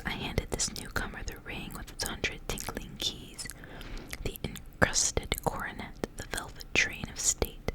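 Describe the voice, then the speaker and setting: A woman reading in a soft, breathy ASMR whisper, hushed and unhurried, as a storyteller. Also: low-quality recording. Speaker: ASMR reader and channel host.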